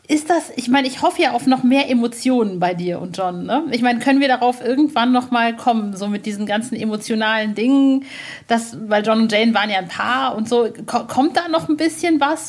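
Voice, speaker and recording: Higher-voiced woman, informal podcast interviewer. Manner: informal, rambling questions. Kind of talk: casual, conversational interview.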